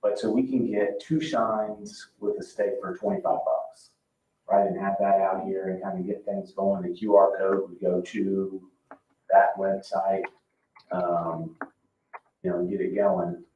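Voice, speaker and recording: A man presenting informally at a public meeting, speaking in a halting, hesitant way. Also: low-pitched, little pitch movement, mellow. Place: indoor public meeting room. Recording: roomy, distant, dull, noisy meeting-room pickup.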